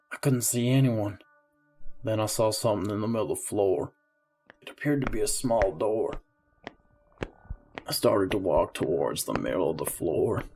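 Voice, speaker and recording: A man's voice: on the low side, darkish tone, a little hiss or hum, close to an everyday voice. A man playing a grim, hard-boiled police detective who narrates his story in an amateur audio drama. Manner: somber first-person storytelling.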